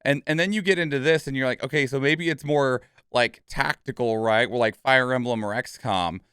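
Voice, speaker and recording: A man's voice: mid-range voice, moderate pace, fairly bright tone, clear, resonant tone, no echo, clean studio sound. A man hosting a reaction channel with casual commentary, rambling and stammering as he thinks aloud.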